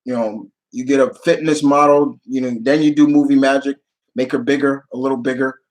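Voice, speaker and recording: A man hosting a casual livestream Q&A, speaking off the cuff in a halting way, repeating phrases and reading viewer questions aloud before answering. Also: mid-range voice, steady tone, low-quality recording.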